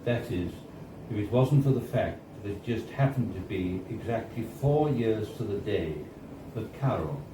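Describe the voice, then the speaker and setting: A man reads a story to a live room in a theatrical, measured style. His voice is fairly low and moves widely in pitch, with deliberate pauses. It is recorded from a distance in an echoey, noisy bar. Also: animated. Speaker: reader of a story. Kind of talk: live dramatic story reading.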